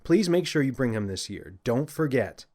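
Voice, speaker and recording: A man's voice: mid-range voice, very animated, moderate pace, a little mellow. A man reading as a naughty young boy, insistent and demanding, in a children's audiobook. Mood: indignant. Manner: indignant, bargaining, insistent. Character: cheeky, demanding, naughty little boy letter-writer.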